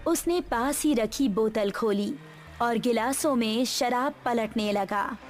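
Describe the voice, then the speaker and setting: A woman's voice: fairly high pitch, takes it slowly, faint music behind. A woman reading a scripted horror story as its narrator.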